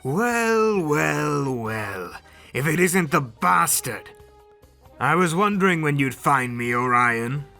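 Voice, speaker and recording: A proud alien prince, with a forceful, highish male voice. He is haughty and taunting, every bit the cruel anime-style warrior of a fan drama, with music underneath. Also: expressive, slow, vocal fry, exaggerated voice. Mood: sneering.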